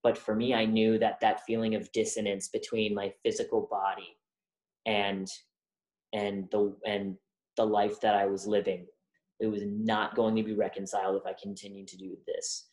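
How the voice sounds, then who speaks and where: Young woman, deep voice, halting thoughtful speech, roomy echo. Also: level tone, darkish tone. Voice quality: deep voice from testosterone. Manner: halting, thoughtful, many fillers and restarts. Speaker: guest. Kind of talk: reflective interview conversation.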